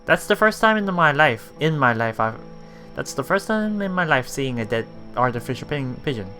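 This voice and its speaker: Bright-voiced man reading haltingly, animated. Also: mid-range voice, faint music behind. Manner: halting, often repeating and correcting words. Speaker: let's play host. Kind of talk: reading a visual novel aloud with casual commentary.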